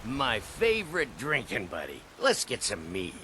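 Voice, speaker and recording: An actor playing a shameless, boozy beggar in a video game, talking in a folksy, wheedling way. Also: male voice, medium pitch, huge pitch swings, measured pace. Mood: pleased, friendly. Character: shameless boozy male beggar craving ale. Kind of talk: video game character dialogue. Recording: clear background noise, busy game ambience.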